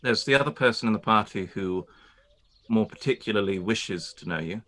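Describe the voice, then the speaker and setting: A man playing a reserved, proud young gentleman landowner in a radio play, speaking formally with brief hesitations. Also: fairly low pitch, normal speed, natural voice. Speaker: actor in a live-read radio play. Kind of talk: period radio play acting.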